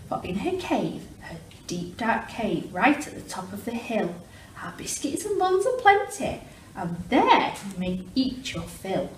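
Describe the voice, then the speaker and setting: Crafty young female duck for a storybook woman performing, sing-song persuasion, unhurried, in a roomy noisy space. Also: mid-range voice, sing-song. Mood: coaxing. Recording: roomy, distant mic with clear background noise.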